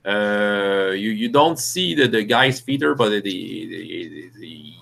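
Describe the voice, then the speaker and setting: A man's voice: lowish voice, lively intonation, gravelly, a bit bright. A man with French as his first language, narrating a tabletop game as game master with many restarts. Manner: halting, many restarts and fillers.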